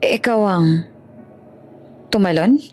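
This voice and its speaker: A woman's voice: fairly low pitch, slow pace, dark tone, faint music behind. A woman voicing a proud, grumpy rich male executive with a curt manner in a romance audio story. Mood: subdued. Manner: curt, haughty.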